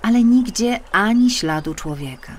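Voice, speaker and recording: A woman narrating a scripted children's story in an expressive, careful storytelling manner. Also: medium pitch, moderate pace. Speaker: audiobook narrator.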